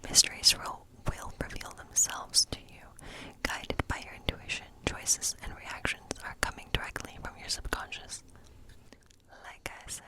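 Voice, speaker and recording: Whispering woman reading tarot as a witch. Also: rough recording. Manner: unhurried, musing, thinking aloud. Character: gentle fortune-telling witch. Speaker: ASMR role-play performer as a tarot-reading witch. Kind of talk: whispered ASMR tarot reading role play.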